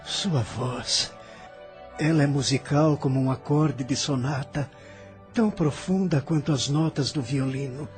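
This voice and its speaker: A man's voice: medium pitch, measured pace, darkish tone, soft background music, natural voice. A man playing an agitated, ailing immigrant in an audio drama, theatrical and impassioned, embittered by hardship. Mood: confused, wistful. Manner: theatrical, impassioned, swinging from faint to outcry.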